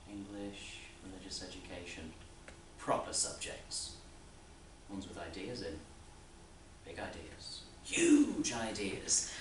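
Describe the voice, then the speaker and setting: Man acting Elwood, a volatile, overbearing boss. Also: lowish voice. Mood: musing. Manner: rambling, insistent, with rhetorical questions and sudden swells. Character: Elwood, overbearing, needling male boss fretting about the young. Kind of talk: theatrical stage monologue. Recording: roomy, distant mic, faint background noise.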